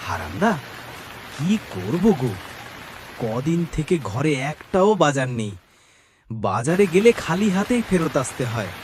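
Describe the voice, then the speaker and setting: A distressed, poor villager in an animated story. His voice is on the high side and swings widely in pitch as he laments. Steady rain noise lies behind it. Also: unhurried, long pauses. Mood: despairing. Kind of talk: animated cartoon story acting.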